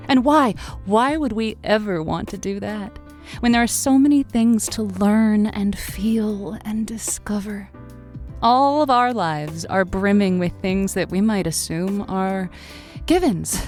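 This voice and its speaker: A woman's voice: mid-range voice, darkish tone. An actress playing an evasive, playful female late-night radio love advice host in an audio drama, with a theatrical, musing delivery.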